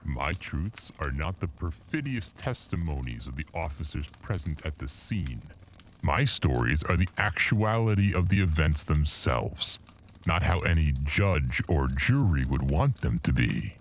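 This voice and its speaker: A man playing a grim, proud, eloquent storyteller in a horror audio anthology, theatrical and measured. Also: very deep voice, sing-song, a little hiss or hum, exaggerated voice. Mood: ominous.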